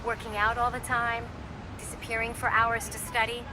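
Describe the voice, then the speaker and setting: An actress playing a caring, worried mother who gently nags her son in a game cutscene. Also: fairly high pitch, flat delivery, normal speed, background noise, natural voice. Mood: concerned. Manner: warm, gently nagging.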